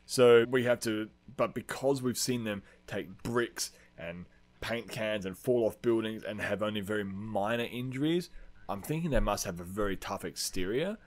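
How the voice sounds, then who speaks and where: A man's voice: on the low side, moderate pace. A man hosting a film-fan podcast, chatting casually with plenty of swearing in a rambling, enthusiastic, slangy way. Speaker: podcast host.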